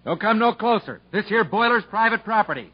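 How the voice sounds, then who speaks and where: An actor playing a stubborn, proud rural moonshiner and husband with folksy country talk in a 1950s radio drama. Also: male voice, high-pitched, expressive, brisk, husky, dry recording. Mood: defiant. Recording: muffled 1950s radio broadcast recording.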